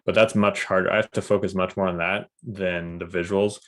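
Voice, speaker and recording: A man with a very deep, gravelly voice hosts a casual talk. He keeps a flat, even delivery and speaks in a measured, thoughtful way. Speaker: podcast host. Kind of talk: casual conversation.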